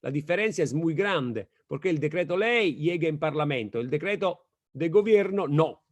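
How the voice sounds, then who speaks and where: A man with an Italian accent, speaking Spanish as a second language, giving an academic lecture over a video call in a slow, halting way with frequent self-corrections. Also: fairly high pitch, expressive. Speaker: guest lecturer.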